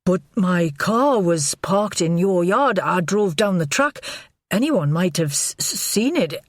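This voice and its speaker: A woman's voice: on the low side, animated, unhurried, no echo, clean studio sound. Woman voicing a stammering nervous man. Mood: anxious. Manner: stammering, anxious. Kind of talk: audiobook character voice.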